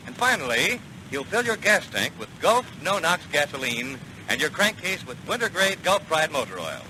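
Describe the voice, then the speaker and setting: A man's voice: a little high, big pitch swings, moderate pace, sharp tone. A man working as a commercial announcer, delivering a scripted 1940s radio advertisement. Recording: noisy 1940 radio broadcast.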